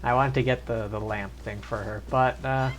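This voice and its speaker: A man's voice: medium pitch, steady tone, normal speed, background noise. A man chatting casually as he gives live commentary on a video game stream. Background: game music.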